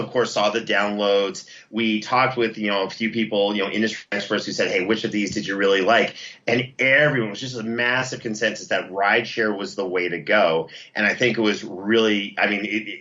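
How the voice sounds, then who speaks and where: A man's voice: lowish voice, sharp tone. An enthusiastic, effusive man talking casually as an interview guest, promoting his work as a producer. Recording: slightly dull, lo-fi line.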